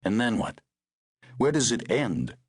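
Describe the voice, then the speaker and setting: A male narrator voicing a loyal, worried middle-aged chauffeur with a gruff, protective manner. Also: medium pitch, very animated, measured pace, no echo, studio quality, pitched above the performer's own voice. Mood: concerned.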